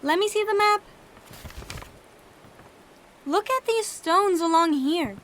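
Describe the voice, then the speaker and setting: Eager, high-pitched young adventurer. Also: female voice, expressive, takes it slowly, a bit bright, heavily acted. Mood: observant. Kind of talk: audio drama acting.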